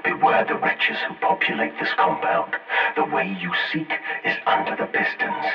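An actor playing a commanding, ominous unseen overseer in a video game, giving grave, terse orders. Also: male voice, normal speed, faint music behind, natural voice. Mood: ominous, urgent. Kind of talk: dramatic video game acting. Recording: muffled, distant, echoing transmission.

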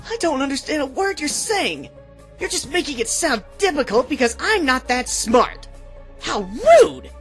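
A woman's voice: high-pitched, sing-song, unhurried, faint music behind, heavily acted. A woman voicing a childish, earnest bear mascot from a video game, protesting in a whiny, flustered way. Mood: flustered, indignant. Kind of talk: video game character acting.

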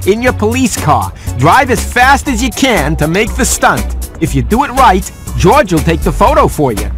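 A high-pitched, lively youthful guide gives upbeat video game instructions. The delivery is animated and helpful, with faint music behind. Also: male voice, moderate pace. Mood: upbeat, instructive. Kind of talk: video game mission narration.

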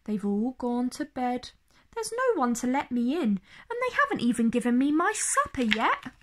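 A woman's voice: sits high, big pitch swings, moderate pace. A woman voicing a forgetful, misunderstood pet cat in a storybook, musing in a plaintive, childlike way. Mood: sad, self-pitying.

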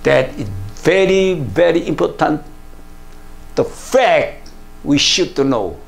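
An older male pastor with a Korean first language delivers a sermon in a plain studio, speaking very slowly with a gravelly, fairly high voice. He leans hard on each phrase and drops in hallelujahs and amens as he goes. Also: lively intonation. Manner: slow, emphatic preaching with frequent hallelujahs and broken English. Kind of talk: sermon to camera. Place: plain studio room, indoors.

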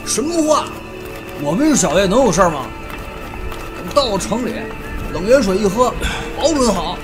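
An actor playing a blustery, griping fat adventurer sidekick in an audio drama, brash and slangy. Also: male voice, sits high, big pitch swings, normal speed, over music. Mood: blustering. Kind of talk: Chinese audio drama acting.

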